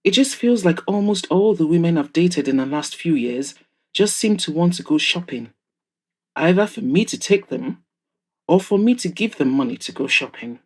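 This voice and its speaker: Audio drama role: bored, restless male bachelor voiced by a narrator, medium pitch, discontented ladies' man. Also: animated, normal speed, close to an everyday voice. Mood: weary, discontented. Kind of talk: audiobook-style character dialogue.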